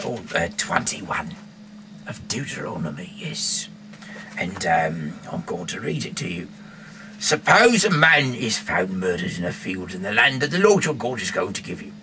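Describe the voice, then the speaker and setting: A man with a very low voice and an English accent reads aloud in a loose, halting way, wandering into casual asides. The homemade recording has clear noise behind him. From England. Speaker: amateur Bible reader and rock singer.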